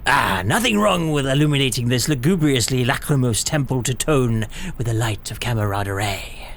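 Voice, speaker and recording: Male supervillain, bombastic, florid, very animated, faint noise behind, audio drama. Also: medium pitch, normal speed. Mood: grandly jovial. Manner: bombastic, alliterative, theatrical.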